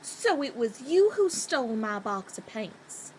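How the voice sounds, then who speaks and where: A woman playing a kind, frank young girl in a radio play, earnest and gently scolding. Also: a little high, sing-song, measured pace, slight background noise, low-quality recording. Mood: frank, sympathetic. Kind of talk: theatrical radio play acting.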